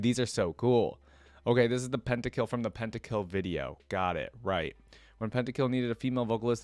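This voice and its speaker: Low-voiced man giving casual reactions. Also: dry recording. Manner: enthusiastic. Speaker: reaction video host. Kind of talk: casual reaction commentary, reading wiki text aloud.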